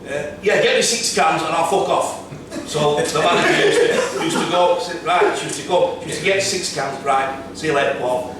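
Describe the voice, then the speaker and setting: A middle-aged man with a Yorkshire accent, chatting as a podcast guest, telling quick anecdotes about his years on club doors and running events security. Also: on the high side, a crowd in the background. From Yorkshire, England. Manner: quick anecdotal storytelling with many yeahs. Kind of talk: casual podcast chat. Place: bar lounge, seated on a sofa. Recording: echoey room from far off, background hum.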